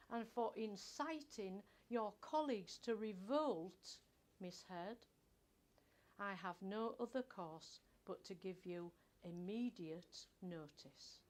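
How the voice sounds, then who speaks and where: A woman's voice: a little high, a little mellow, voice pushed up. A woman reading a story plays a stern, accusing male office boss, emphatic and pompous.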